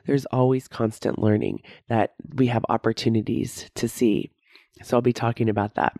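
A woman's voice: very deep voice, fairly even intonation, moderate pace, dark tone, no echo, studio quality. A woman of about fifty with a head cold, hosting a solo podcast as a coach and talking conversationally, often tagging sentences with "right?". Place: recording studio.

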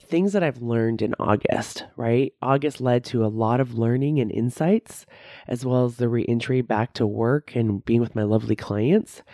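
A woman of about fifty hosts her own podcast from a studio, speaking in a dark, mellow tone. She has a head cold and talks conversationally, often tossing in "right?" as she coaches her listeners. The sound is close and dry with no room around it. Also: extremely low pitch, no room sound. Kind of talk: solo podcast monologue. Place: recording studio.

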